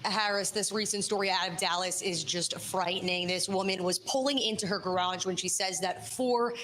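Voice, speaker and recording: A woman's voice: on the low side, fairly even intonation, normal speed, a bit bright. Field reporter, woman, urgent news read, faint noise behind.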